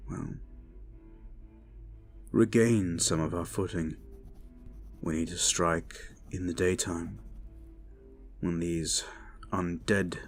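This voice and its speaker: Man acting as a low-voiced, solemn, haunted knight captain. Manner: solemn, measured, formal. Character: weary, haunted male knight captain at war. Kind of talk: fantasy audio drama acting.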